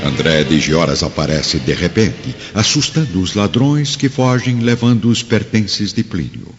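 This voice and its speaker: A Brazilian man narrates a radio drama with solemn, dramatic delivery. His voice is very low, slightly muffled by a dull recording, with music clearly playing underneath. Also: lively intonation, unhurried, in a large hall.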